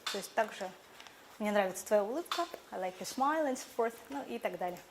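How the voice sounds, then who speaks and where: A woman's voice: medium pitch, expressive, brisk, background noise. A young woman whose first language is Buryat, a teacher answering jury questions thoughtfully with fillers and restarts. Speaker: teacher competition contestant.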